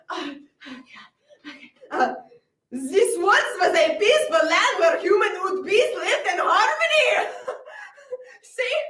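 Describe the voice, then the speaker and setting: A woman playing a self-important female cartoon voice actress in a comic stage monologue, with theatrical swings in pitch and loudness. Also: full character voice. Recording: roomy, from a distant mic.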